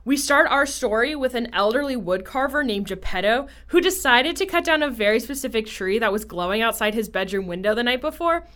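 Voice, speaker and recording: A woman's voice: fairly high pitch, expressive, a little fast, hardly any pauses, fairly bright tone. A woman playing a prim, motherly fairy godmother who narrates a scripted storybook tale with wry touches. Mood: genteel, welcoming.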